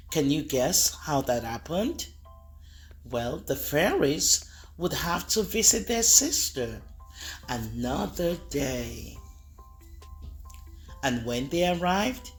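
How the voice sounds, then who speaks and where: A woman reading a picture book aloud to children, expressive and talking to the kids directly. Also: low-pitched, rough recording. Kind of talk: read-aloud storytelling for kids.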